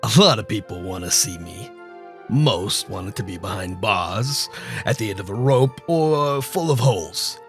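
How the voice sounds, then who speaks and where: An actor playing a wry, guarded crime boss in a detective radio drama. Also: male voice, fairly low pitch, expressive, unhurried, soft background music. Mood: coolly commanding.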